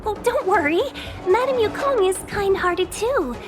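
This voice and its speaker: Coy polite game guide girl, high honeyed voice, formal speech, faint music behind. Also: animated, measured pace. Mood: gracious. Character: courteous, coy young woman trade envoy and guide. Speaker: guide and envoy. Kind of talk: video game voice acting.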